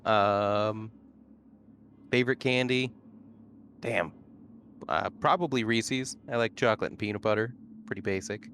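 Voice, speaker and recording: Low-voiced man with faint noise. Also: a little slow, pauses often, fairly bright tone. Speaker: tabletop game player. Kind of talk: casual chat. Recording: faint noisy ambience.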